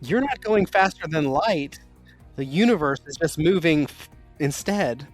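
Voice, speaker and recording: Male game master, mid pitch, rules explainer for a tabletop session, with faint background music. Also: lively intonation, a little slow.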